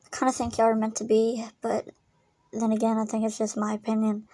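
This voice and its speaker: A woman's voice: on the high side, completely flat delivery, brisk, mellow, lightly acted. A woman acting as a blunt but caring young friend, emphatic and slangy, in an amateur acted story. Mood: concerned, earnest.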